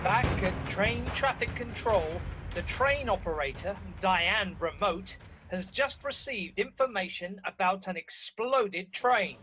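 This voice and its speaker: High-voiced teenage boy narrating. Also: expressive, slow, music in the background. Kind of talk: scripted radio play narration. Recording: clearly noisy background ambience.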